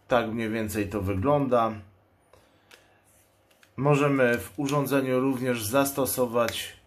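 A man explains a product in an unboxing commentary. He speaks slowly at a medium pitch, in a measured, matter-of-fact manner. There is clear background noise, with clicks and crinkling packaging. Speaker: product reviewer and channel host. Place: indoors at a table. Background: noise, plastic packaging crinkles and clicks.

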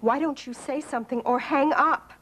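A woman's voice: sits high, moderate pace, a bit bright. A woman playing an exasperated phone answerer in a radio comedy sketch. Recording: noisy radio recording.

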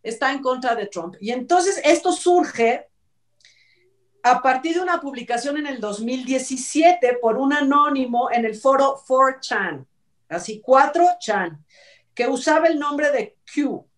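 A woman's voice: high voice, animated, unhurried, far from the mic. A middle-aged woman with a Mexican accent, giving chatty, emphatic, sarcastic opinion commentary as a talk show host on a video call. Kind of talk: informal opinion commentary on entertainment news. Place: indoor room, video call.

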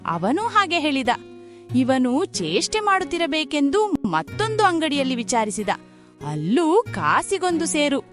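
A woman's voice: sits high, fairly bright tone. A woman narrating a moral folk tale in a scripted storytelling style.

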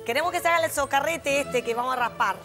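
A middle-aged woman hosting a live TV cooking show, lively and playful, on a lapel mic in a studio kitchen. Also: high voice, quick pace, over music.

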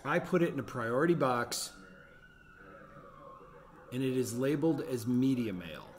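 A man's voice: mid-range voice, lively intonation, unhurried, slight background noise. Middle-aged man thinking aloud. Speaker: vlogger running a home resale business. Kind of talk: casual vlog narration, thinking aloud.

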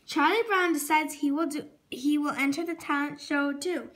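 Bright, high-pitched female storybook reader. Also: normal speed. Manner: expressive, stumbles and corrects words while reading. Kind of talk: reading a children's storybook aloud.

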